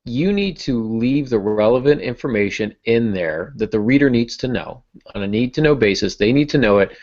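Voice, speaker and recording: A man's voice: medium pitch, animated, normal speed, darkish tone, highs cut off. A man hosting a writing podcast in casual talk, with a self-deprecating, joking manner. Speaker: podcast host and fiction writer.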